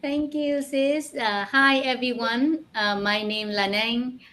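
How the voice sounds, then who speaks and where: A woman in her forties with a Vietnamese accent, speaking English as a second language. She talks slowly and haltingly, with frequent fillers. She sounds like a guest on a video call. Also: fairly high pitch, fairly even intonation, bright, forceful tone. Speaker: guest business coach. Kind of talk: live online interview.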